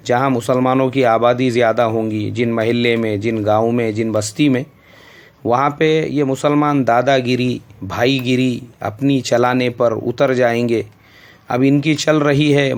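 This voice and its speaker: A male Islamic scholar with an Indian accent, answering a listener's question in a scolding, emphatic preaching style. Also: mid-range voice.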